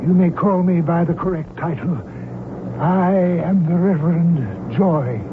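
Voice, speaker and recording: A man plays a zealous reverend turned hijacker in an old radio play, with a fairly high voice. He is formal, measured and sure of himself, giving orders with cool politeness. The sound is tinny and narrow, with clear noise underneath. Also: close to an everyday voice. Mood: calm menace. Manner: formal, measured, self-assured. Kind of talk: old-time radio drama acting.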